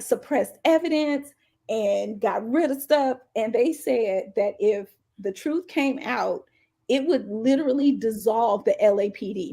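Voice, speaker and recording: A woman co-hosting a podcast in casual, slangy chat, telling things in an animated, playful way. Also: fairly high pitch. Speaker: podcast co-host.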